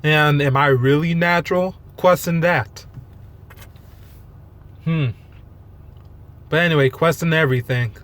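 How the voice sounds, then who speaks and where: A man with a slightly high voice talks off the cuff to his followers. His delivery is monotone and halting, with thoughts loosely strung together. He is blunt, self-deprecating and casual about swearing. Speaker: fitness vlogger. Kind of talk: unscripted rant to followers.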